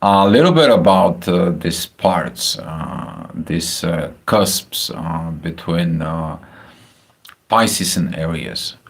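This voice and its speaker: A man with a Bulgarian accent talking casually about astrology on a livestream, halting with many filler sounds and restarts. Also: low voice, animated. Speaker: astrology channel host. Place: home, house in Spain.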